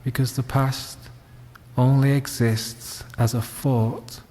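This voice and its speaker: A man leading a guided meditation, speaking gently and leaving long pauses. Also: medium pitch, level tone. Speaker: meditation teacher. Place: reverberant room at a wellness centre. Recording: long hall-like reverb.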